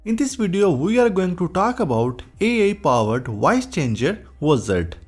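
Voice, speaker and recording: A man's voice: high-pitched, big pitch swings, moderate pace, breathy edge. A man hosting a tech tutorial, reading scripted narration as a voice-over. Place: voice-over recording. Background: background music.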